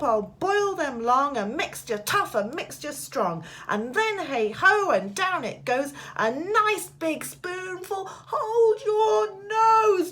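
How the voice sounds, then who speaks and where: A woman voicing a mischievous, excited eight-year-old storybook boy, gleeful and dramatic as he chants and schemes. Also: high voice, pitched above the performer's own voice, heavily acted. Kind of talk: storybook read-aloud character voice.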